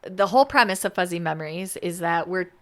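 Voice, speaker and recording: A woman's voice: fairly low pitch, fairly quick. A woman co-hosting a podcast, explaining things in detail in a casual, unscripted, conversational way with asides. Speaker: podcast co-host.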